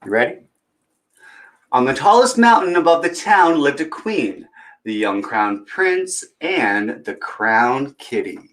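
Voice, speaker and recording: A man hosting a children's storytime, chatting to viewers and reading aloud in an expressive, theatrical way. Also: mid-range voice, slow pace. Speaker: children's storytime host and reader. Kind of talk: chatty introduction and animated picture-book reading aloud.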